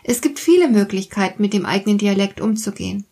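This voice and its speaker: Breathy woman hosting a podcast. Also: medium pitch, fast. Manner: explains calmly, speaks directly to the listener. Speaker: podcast host. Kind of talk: scripted podcast monologue.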